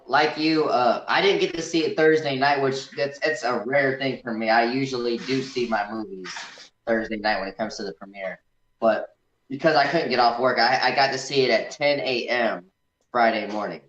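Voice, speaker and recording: A man's voice: medium pitch, a little hiss or hum. Echoey, muffled casual man. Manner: informal, colloquial. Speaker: guest film commentator. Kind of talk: casual chatty movie discussion. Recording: echoey room, dull lo-fi audio.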